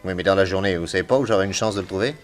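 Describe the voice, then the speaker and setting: An actor playing a calm, authoritative male private detective in a French radio crime drama, questioning people methodically. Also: low voice, very fast, faint music behind, lightly acted. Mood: businesslike.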